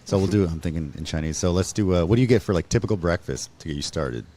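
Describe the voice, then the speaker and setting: A man's voice: deep voice, fast talker, mellow. A man hosting a casual podcast interview, laid-back and full of easy affirmations like okay and beautiful. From Mexican family, raised in California. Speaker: podcast host. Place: bar venue, live stream.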